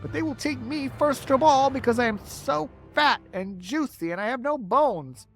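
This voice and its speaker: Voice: sits high, normal speed, faint music behind, voice pushed up. A storybook reader voicing a fat, fearful earthworm who wails and whines. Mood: wailing. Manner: wailing, whiny.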